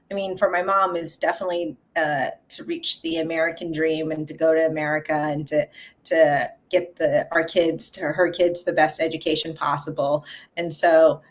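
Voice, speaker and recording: Soft-spoken woman, halting and thoughtful. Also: sits low. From Vietnam. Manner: halting, many fillers and restarts. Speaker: guest, coffee company founder. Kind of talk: casual interview conversation.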